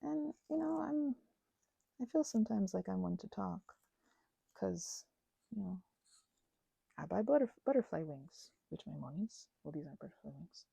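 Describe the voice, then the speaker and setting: A woman giving an unscripted ASMR-style craft ramble as host, halting and self-correcting in an earnest way, close to the mic. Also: mid-range voice, lively intonation, creaky, dark tone, slightly rough, no room sound.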